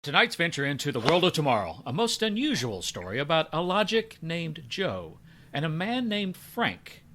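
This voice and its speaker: A man's voice: fairly high pitch, wildly swooping pitch, moderate pace, pushed and bright. A man giving scripted introduction and narration as the announcer of a radio show. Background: music.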